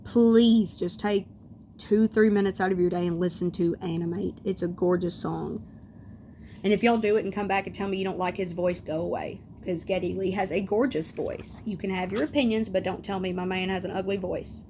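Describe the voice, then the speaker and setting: Gravelly chatty woman. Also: fairly low pitch, steady tone, normal speed, mellow. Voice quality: scratchy voice. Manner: rambling, chatty, tangents and mild swearing. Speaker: beauty vlogger. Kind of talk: casual chat.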